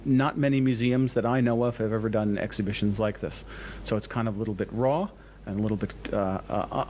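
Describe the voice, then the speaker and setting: A man giving explanatory interview answers as a museum director, enthusiastic but halting, often repeating words. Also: mid-range voice, darkish tone, a little hiss or hum.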